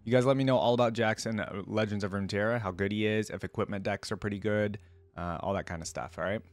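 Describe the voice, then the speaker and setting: A man's voice: on the low side, fairly even intonation, quick pace, no room sound. A man hosting a reaction video, giving casual commentary. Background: game audio and music.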